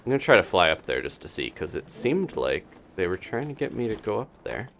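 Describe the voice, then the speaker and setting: A man's voice: lowish voice, sing-song, fairly quick, a bit bright, slight background noise. A man doing casual live commentary on a video game as he plays, thinking aloud. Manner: thinking aloud, talking to himself and his game character.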